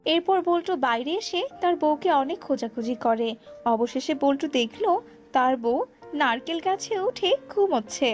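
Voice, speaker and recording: A woman's voice: high voice, lively intonation, normal speed, faint music behind. A woman narrating a scripted cartoon folk tale, on a dull lo-fi recording.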